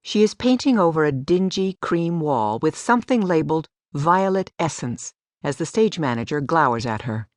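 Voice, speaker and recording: A woman's voice: sits low, expressive, normal speed, hardly any pauses, dry recording, studio quality. A woman giving a scripted story narration as an audiobook narrator. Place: dry studio.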